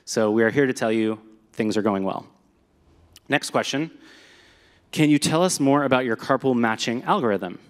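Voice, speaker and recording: A man's voice: medium pitch, animated, moderate pace, long pauses. A man giving a live presentation to an audience, talking in an upbeat, conversational way with some fillers. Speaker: presenter at a company meetup. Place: large echoey hall with a live audience. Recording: long hall reverb.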